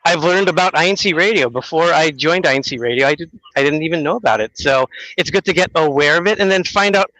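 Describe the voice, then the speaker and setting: A man's voice: a little high, fast, few pauses, sharp tone, no echo, rough recording. A male radio host in a panel chat, talking upbeat and rambling, with many fillers.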